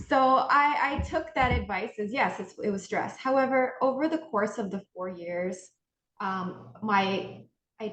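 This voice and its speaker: A woman from Hawaii, a podcast guest telling her story in a chatty way, acting out what others told her and often restarting her sentences. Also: medium pitch. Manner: chatty, acts out remembered remarks, frequent restarts. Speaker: podcast guest, integrative health practitioner. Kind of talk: casual storytelling interview. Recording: roomy echo, faint noise.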